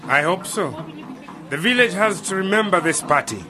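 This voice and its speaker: A grateful, sentimental villager in a radio drama. His voice sits high for a man and rises and falls freely as he speaks earnestly to old friends. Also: lively intonation, moderate pace, music in the background. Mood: reflective.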